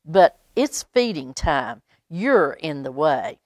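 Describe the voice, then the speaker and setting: A woman's voice: deep voice, sing-song, slow pace, darkish tone, no room sound, exaggerated voice. A stern storybook mother cat, read by a woman, curt and no-nonsense. The delivery is brusque and matter-of-fact, with a dry, close sound.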